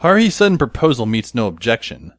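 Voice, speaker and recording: A man playing a wry, resigned teenage schoolboy who narrates an audio drama in a deadpan manner. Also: mid-range voice, quick pace, no echo, clean studio sound, lightly acted. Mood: wry, measured. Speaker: narrator and lead character. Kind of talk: first-person scripted audio drama narration. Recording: music behind the voice. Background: instrumental music.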